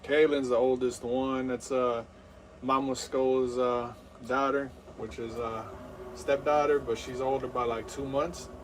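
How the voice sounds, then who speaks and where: A man's voice: medium pitch, level tone, measured pace, recorded from a distance, slight background noise, low-quality recording. A man in his thirties hosting a live game stream, rambling with lots of fillers and hyped play-by-play. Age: 30s.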